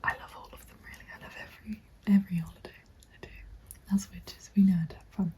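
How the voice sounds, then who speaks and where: A young woman in a gentle, encouraging ASMR roleplay, speaking in a soft breathy whisper. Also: mid-range voice, fairly even intonation, fast. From England. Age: young adult. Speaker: ASMR roleplayer playing a witch recruitment agent. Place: small dim room indoors. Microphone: close microphone. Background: computer keyboard typing.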